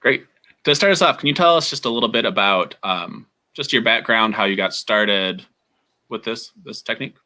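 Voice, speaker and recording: A man's voice: lowish voice, normal speed, sharp tone. A man working as a studio coordinator, interviewing a guest in a casual, upbeat way with many fillers.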